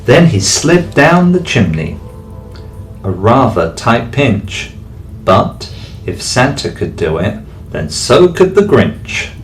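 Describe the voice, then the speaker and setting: A man, a teacher, reading a rhyming bedtime story aloud with expressive delivery. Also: medium pitch, slow pace. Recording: distant mic, faint background music.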